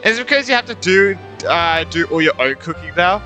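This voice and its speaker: A male gaming streamer reacts casually in a fairly high, bright voice. He rambles and repeats himself, with lively swings in pitch. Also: moderate pace. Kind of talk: casual live game reaction commentary. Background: game music.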